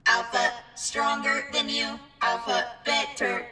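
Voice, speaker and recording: A high, flat robot voice in an old-time radio play. It talks in stilted, blunt fragments with quiet danger, slowly, over loud musical sound. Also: female voice, music in the background. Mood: flat, menacing. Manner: stilted broken speech, speaks of itself by name. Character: childlike mechanical robot servant, blunt and dangerous.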